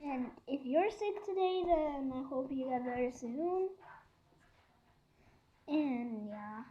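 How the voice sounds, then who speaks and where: Hoarse young woman vlogging with a cough. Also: high-pitched, animated, lo-fi sound, a little hiss or hum. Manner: halting, apologetic, with pauses and fillers. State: hoarse, sore throat, coughing. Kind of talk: casual vlog talk to viewers.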